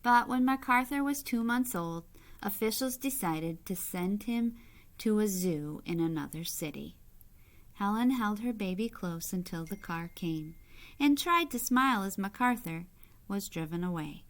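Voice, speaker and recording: A woman's voice: medium pitch, lively intonation, unhurried. A woman reading a picture book aloud like a teacher, explaining as she goes and putting simple questions to young listeners.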